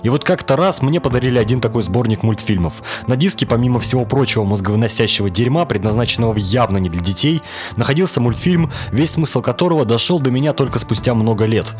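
A man's voice: mid-range voice, expressive, fast talker, darkish tone. A young man from Russia delivering a scripted, wry review as a voice-over. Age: young adult. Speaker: video reviewer. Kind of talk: scripted cartoon review narration. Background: background music.

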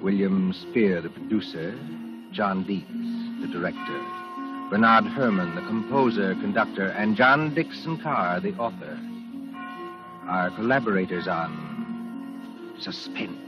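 A male announcer from 1940s radio reads formally and with measure. His voice is low, on a dull vintage recording with music playing loudly behind. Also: measured pace. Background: loud music.

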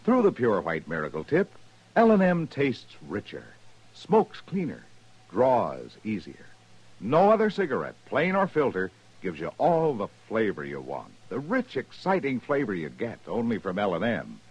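A man reads a 1950s radio commercial with emphatic, sing-song delivery. His husky voice swoops widely in pitch through a muffled old broadcast recording. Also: huge pitch swings, moderate pace, darkish tone. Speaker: radio announcer.

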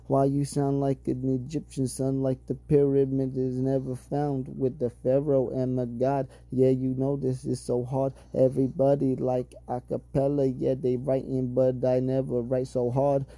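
A man's voice: medium pitch, dark tone, dry recording. A man rapping a loose, rambling a cappella freestyle, heavy on profanity. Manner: rambling, heavily profane flow. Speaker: amateur freestyle rapper.

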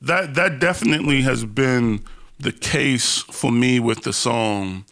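A man's voice: mid-range voice, unhurried, a bit bright. A man hosting an interview in a casual, candid, slangy way, poking fun at himself and often repeating phrases as he searches for words. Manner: candid, slangy, self-deprecating. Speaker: podcast host interviewing a guest. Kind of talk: casual, personal interview chat.

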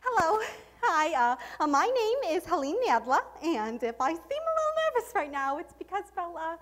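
A woman's voice: very high voice, sing-song, moderate pace. A woman performing a dramatic monologue as a nervous, gushing, proud middle-aged mother, theatrical and effusive. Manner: theatrical, effusive, self-congratulating, stammering. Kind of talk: dramatic monologue in a speech competition.

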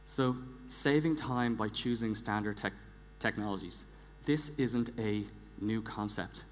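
A man speaks from a big stage with long hall reverb and a clear background hum. His voice sits fairly low, and he delivers a prepared presentation deliberately, repeating words for emphasis. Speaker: conference speaker, engineering director. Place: large theatre stage, indoors.

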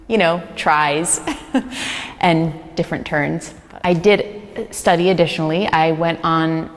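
An earnest woman in her thirties, whose first language is Hungarian, talks in a chatty, easy way, with plenty of ums. Her voice sits a little low. She wears a lapel mic in a large gallery room with a long reverb. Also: creaky. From United States. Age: 30s. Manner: earnest, chatty, quick laughs, many fillers. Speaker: refugee services manager. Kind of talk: interview answers. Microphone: small lapel mic.

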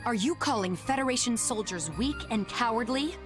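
A woman's voice: fairly high pitch, animated, normal speed, music in the background, natural voice. A woman playing a stern, proud, rule-bound young soldier in a video game, speaking bluntly. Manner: blunt, clipped, scolding. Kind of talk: English game voice acting.

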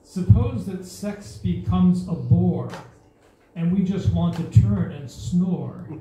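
An older woman reading a rhyming poem aloud at an open mic, in a slow, measured recital. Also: lowish voice, mellow, a little hiss or hum. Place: small stage in an indoor venue. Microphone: stand mic, filmed from a far camera. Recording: echoey room from far off.

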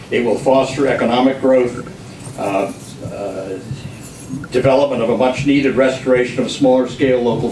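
An older man reading a prepared speech at a public hearing in a deliberate, formal manner. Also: medium pitch, darkish tone. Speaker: member of the public testifying at a hearing. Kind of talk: prepared speech read aloud. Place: government committee hearing room. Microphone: camera across the room. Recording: echoey room, distant mic, faint noise.

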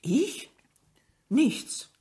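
A woman's voice: extremely slow, dark tone. An actress playing a distressed middle-aged mother under questioning in a radio drama, answering defensively and with agitation. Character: distressed, defensive mother of a murdered grown daughter.

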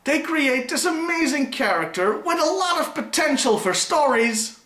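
Male on-camera review host, wry and opinionated, animated intonation, roomy camera sound. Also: very high-pitched, moderate pace. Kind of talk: scripted presenting to camera. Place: living room, on a sofa.

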